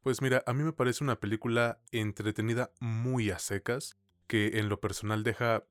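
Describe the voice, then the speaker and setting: A man's voice: mid-range voice, steady tone, moderate pace, dry recording, clean studio sound. A man with a Mexican accent co-hosting a film podcast, chatting casually in informal, slangy, mildly profane speech. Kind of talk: casual film review chat.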